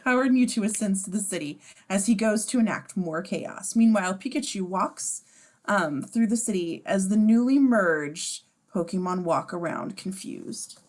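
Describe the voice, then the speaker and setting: A woman's voice: mid-range voice, expressive, moderate pace. A woman reading stage directions in an amateur table read, casual and sardonic, dropping in asides.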